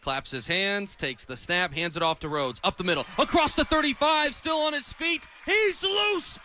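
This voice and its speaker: A man in his twenties calling live American football on radio as play-by-play announcer, with an animated, excitable delivery. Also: high voice, normal speed. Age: 20s. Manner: animated, builds from clipped calls to excited shouting. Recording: stadium crowd behind the commentary. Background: stadium crowd.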